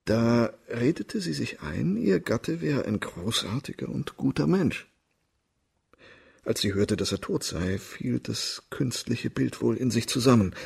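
Raspy, creaky, learned old-world doctor. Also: male voice, medium pitch, heavily acted. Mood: grave. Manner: formal, measured, explanatory. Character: discreet, learned male family doctor in ancient China. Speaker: actor in a radio crime drama. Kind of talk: radio play acting.

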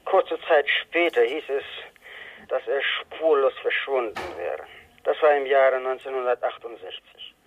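An actor playing a cagey, money-minded former Soviet embassy informant, a native Russian speaker, in a radio drama telephone call. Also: male voice, medium pitch, measured pace, slight background noise. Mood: reluctant recollection. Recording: thin, narrow telephone line.

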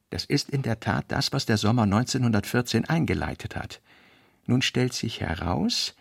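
A man narrating literary notes for a radio play in a deliberate, fragmentary way, weighting key words. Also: mid-range voice, creaky, slightly rough, dry recording, clean studio sound. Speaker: narrator reading a novelist's working notes. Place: dry studio.

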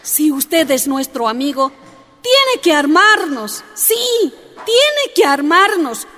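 An actress playing a bold, earnest miners' activist in a radio drama, speaking in an insistent, declamatory way. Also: female voice, high-pitched, big pitch swings, slow, pushed and bright, full character voice. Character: bold, earnest woman activist from a mining camp. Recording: reverberant hall, music and crowd behind.

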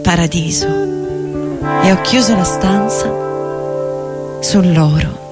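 A woman's voice: on the low side, slow pace. A woman giving a theatrical spoken-word reading as a weary, disillusioned porter at a seedy hotel bar. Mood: wondering. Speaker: stage reader. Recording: loud guitar music under voice. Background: plucked guitar music.

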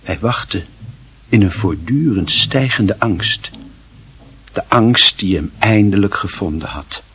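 Husky male storyteller with expressive delivery. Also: lowish voice, takes it slowly. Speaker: narrator. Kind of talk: dramatic scripted literary narration.

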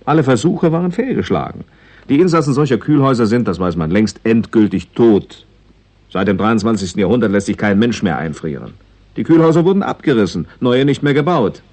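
A man's voice: on the low side, expressive, brisk, darkish tone. An actor playing a sceptical, puzzled male foreigner who explains with some condescension, in a 1960s German radio play. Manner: explanatory, questioning.

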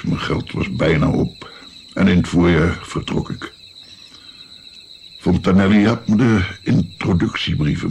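A man's voice: extremely low pitch, gravelly, darkish tone, slight background noise, exaggerated voice. An actor playing an older musician and physician, recalling his naive youth in a reflective, hesitant, wry way in a radio play.

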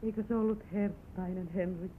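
An actress playing a gentle, loving wife and new mother in old Finnish radio play style, soft and coaxing. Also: mid-range voice, steady tone, natural voice. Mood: tender. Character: gentle, loving wife resting after childbirth. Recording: tinny, noisy old radio recording.

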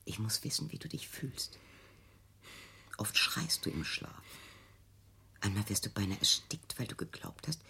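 An actress in a radio play playing an anxious, controlling mother, hushed and insinuating, with a husky, breathy voice. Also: extremely low pitch, expressive, measured pace, pauses often, a little hiss or hum, heavily acted. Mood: worried, probing.

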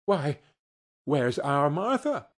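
A tender-hearted, poor Victorian father from a storybook, as a male narrator acts him. He speaks earnestly and with feeling, in a slightly husky voice pitched up. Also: very animated, takes it slowly, full character voice. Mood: eager, then dismayed.

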